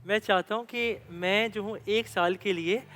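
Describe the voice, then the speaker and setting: High-voiced Pakistani man telling a story. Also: fairly even intonation, moderate pace, fairly bright tone. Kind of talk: religious storytelling for children.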